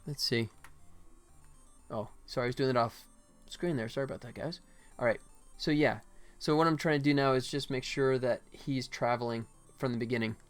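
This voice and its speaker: Male game-dev streamer, mid-pitched, off-the-cuff and self-muttering, music underneath. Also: normal speed. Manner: muttering to himself, then chatting to viewers. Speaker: game developer live streamer. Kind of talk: casual live stream chat while working.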